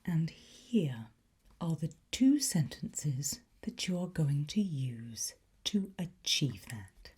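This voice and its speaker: A woman playing a playfully conspiratorial demonology teacher in an ASMR roleplay, whispering slowly and deliberately with a breathy, softly encouraging voice. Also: deep voice, very animated, heavily acted. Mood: hushed, playful, intrigued.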